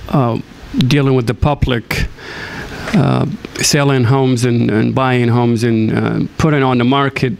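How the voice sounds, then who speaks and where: A man giving an unscripted answer as a council candidate, halting and hesitant with many filled pauses. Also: mid-range voice, little pitch movement, creaky, darkish tone, a little hiss or hum.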